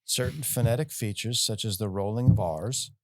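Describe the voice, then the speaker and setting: A man's voice: lowish voice, normal speed, background noise. A man co-hosting a casual comedy podcast, reading news stories aloud between jokes. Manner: reads news items aloud, breaks into goofy mock-Italian voices.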